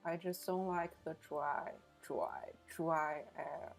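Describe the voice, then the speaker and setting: A woman's voice: deep voice, steady tone, takes it slowly, creaky, faint music behind. A young woman from Beijing, chatting casually with viewers on a game stream and often using "like" as a filler. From Beijing, China. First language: Mandarin Chinese. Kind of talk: casual live-stream chat.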